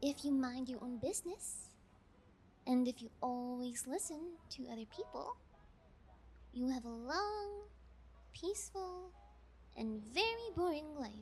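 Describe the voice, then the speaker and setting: A high, unhurried female voice performed by a woman plays a sociopathic kidnapper in an audio roleplay. She is nonchalant and taunting, bullying her captive with playful menace. A faint noise sits behind the voice. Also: animated, a little mellow. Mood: playful, smug. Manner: taunting, nonchalant, slow and deliberate. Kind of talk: ASMR audio roleplay acting.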